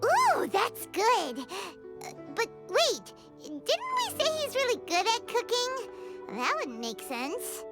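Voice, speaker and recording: A woman voicing a small, excitable, childlike female fairy companion in a video game, with a squeaky voice and a bubbly, chatty manner. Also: slow, over loud music, heavily acted. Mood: hesitant, pondering. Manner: refers to self in third person, bubbly, thinks aloud.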